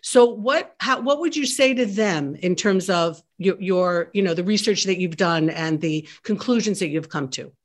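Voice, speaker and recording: A woman's voice: fairly low pitch, moderate pace, hardly any pauses. A woman hosting a webinar interview, asking long, carefully built questions. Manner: probing, builds long questions. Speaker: host.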